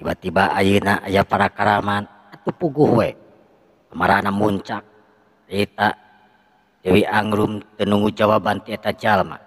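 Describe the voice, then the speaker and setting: A man with a Sundanese accent tells a folk tale in a lively, dramatic manner. His voice sits low. A long reverb follows his lines. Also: moderate pace. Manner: lively, with shouted sound effects. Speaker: storyteller.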